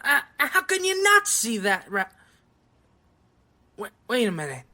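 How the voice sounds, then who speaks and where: Lady doing an excitable scientist, high sharp voice, precise, mutters to self, audio drama. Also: measured pace, full character voice. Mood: eager, puzzled. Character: excitable, absorbed scientist doctor.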